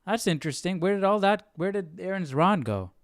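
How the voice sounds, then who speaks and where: A man's voice: sits high, expressive, a little fast, no room sound, studio quality. A man hosting a live Bible study, thinking aloud in a casual, questioning, conversational way.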